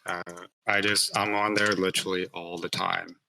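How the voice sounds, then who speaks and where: A man's voice: low-pitched, flat delivery, normal speed, gravelly, pushed and bright, slight background noise, rough recording. A man guesting on a casual livestream interview, joking and easygoing. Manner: joking, easygoing, self-deprecating. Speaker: guest artist.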